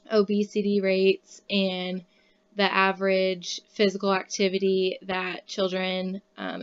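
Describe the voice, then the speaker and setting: A woman's voice: medium pitch, smooth, highs cut off. A young woman from Kansas City, a student giving a class presentation, reading from notes with frequent "um" fillers. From Kansas City, Missouri, USA. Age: young adult.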